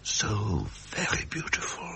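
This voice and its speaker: A man's voice: slow pace, bright, forceful tone, slight background noise. A man playing a suave, pleasure-loving Victorian prince in a British radio drama, with a jovial, aristocratic manner and a British accent. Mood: tender, flirtatious.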